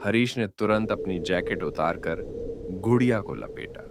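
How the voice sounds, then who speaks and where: A man narrating a scripted moral story in a measured, dramatic storytelling manner. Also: low voice, animated. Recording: loud background noise.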